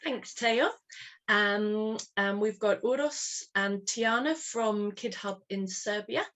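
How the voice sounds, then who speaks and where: A woman from England hosts an online webinar over a video call. Her pitch sits in a middle range, and she talks in a chatty, friendly way with frequent light laughter. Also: takes it slowly, fairly bright tone. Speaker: webinar host. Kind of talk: online meeting moderation.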